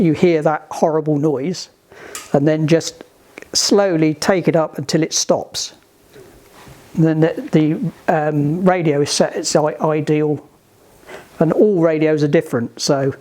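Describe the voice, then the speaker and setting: A man giving informal, practical instruction to a group, halting and often restarting his phrases. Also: a little high, darkish tone. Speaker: instructor at a sailing club radio session. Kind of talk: informal instructional talk with questions.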